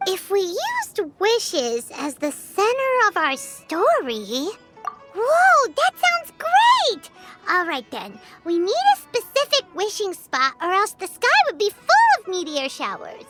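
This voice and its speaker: Tiny bubbly game fairy sidekick, super high squeaky kid voice, bounces all over. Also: female voice, huge pitch swings, slow pace, music in the background, exaggerated voice. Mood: excited scheming. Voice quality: squeaky, childlike. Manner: exclamatory, refers to self in third person. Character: small excitable childlike fairy companion girl, eager and bubbly. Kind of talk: video game voice acting.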